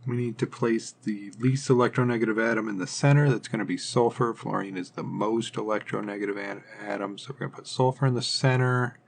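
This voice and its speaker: A man's voice: on the low side, fairly quick, creaky, hardly any pauses. A man teaching chemistry in an informal, step-by-step lecture style, methodically thinking aloud as he works through things.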